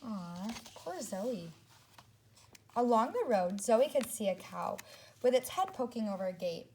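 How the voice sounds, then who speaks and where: Expressive woman reading aloud, unhurried and lively. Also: fairly high pitch. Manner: expressive, deliberate, addressing young children. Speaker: teacher reading aloud to second graders. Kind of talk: read-aloud storytelling with asides to children.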